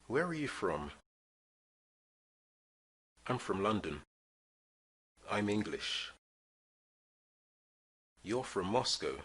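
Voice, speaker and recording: A man teaching English, reading short phrases slowly and clearly for listeners to repeat. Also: deep voice, bright, forceful tone. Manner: clear drill-style reading of phrases for repetition. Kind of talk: slow scripted language lesson.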